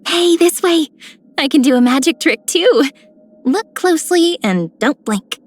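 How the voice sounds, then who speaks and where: A woman voicing a sweet, whimsical young girl in a video game, playful and gentle. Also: sits high, animated, a little slow. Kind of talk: scripted game character lines.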